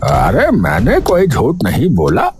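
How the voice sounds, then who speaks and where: A man's voice: medium pitch, wildly swooping pitch, takes it slowly, a little mellow, full character voice. A man playing an evasive, blustering village headman in a Hindi cartoon story, hemming and stalling as he talks. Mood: evasive, irritable. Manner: hemming and hesitant, with stalling filler sounds. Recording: music under the voice.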